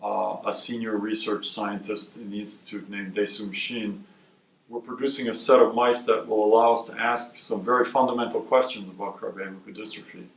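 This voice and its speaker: A man from Wisconsin who directs a research institute, giving measured, explanatory interview answers. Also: lowish voice, steady tone, creaky, fairly bright tone. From Wisconsin, USA. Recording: roomy sound from a distant mic.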